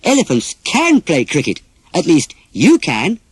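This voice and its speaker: A man plays a boisterous schoolboy in a children's storybook. He is blunt and bossy, his pitch leaping about, with a husky edge and a dry, close sound. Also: huge pitch swings, unhurried, no room sound, full character voice. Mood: admiring.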